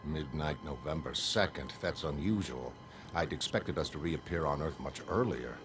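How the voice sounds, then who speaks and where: A man voicing a detached, coolly curious godlike superhuman in a measured, analytical, emotionless way for a motion comic. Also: a bass voice, over music. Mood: detached wonder. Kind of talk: dramatic motion comic narration.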